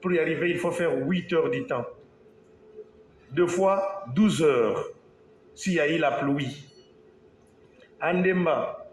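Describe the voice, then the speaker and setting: A man from Congo, an engineer, giving a slow, halting talk to an audience, with pauses and restarts. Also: fairly high pitch. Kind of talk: speech to an audience. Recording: echoey hall, faint background noise.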